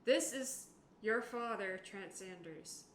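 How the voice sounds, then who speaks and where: A woman's voice: medium pitch, expressive, slow pace, fairly bright tone. A woman chatting casually as a player in a tabletop role-playing game. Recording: echoey room with background noise.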